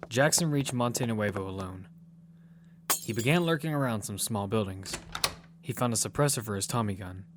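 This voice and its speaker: A man's voice: fairly low pitch, moderate pace, noisy background. A man giving scripted narration for a piece of audio fiction. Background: music.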